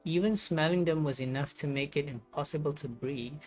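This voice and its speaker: Female game streamer, low-pitched for a woman, reading aloud, musing commentary, faint music behind. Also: moderate pace.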